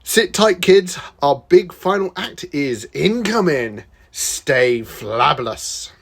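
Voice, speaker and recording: A performer voicing a flamboyant, self-aware magical male phantasm in an audio fan fiction, with theatrical, drawn-out exclamations. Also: mid-range voice, lively intonation, breathy edge, heavily acted. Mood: gleeful showman.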